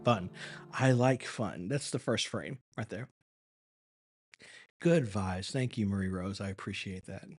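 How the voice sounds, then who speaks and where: A man's voice: on the low side, a little slow, long pauses. A man who works as an acting and performance coach, chatting casually with viewers on a live stream. He sounds tired, his voice is wearing thin, and he rambles earnestly, restarting his words as he goes.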